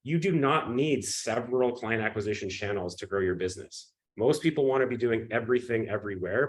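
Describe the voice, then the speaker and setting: A man with a cold, sniffling and coughing, giving an energetic live webinar pitch and often checking in with his audience. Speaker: webinar presenter.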